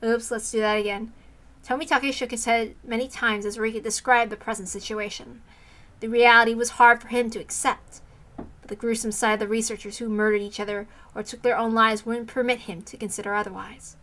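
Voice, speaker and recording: Bright, higher-voiced woman reading aloud. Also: little pitch movement, a little fast. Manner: informal, self-correcting. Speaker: reader of a visual novel. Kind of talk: read-aloud narration with casual asides.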